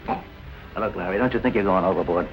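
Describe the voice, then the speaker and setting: An actor playing a wary, dry-witted nightclub boss in a 1940s film, with a clipped, sardonic manner. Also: male voice, low-pitched, fast talker, lo-fi sound, lightly acted. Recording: vintage 1940s film soundtrack, faint music.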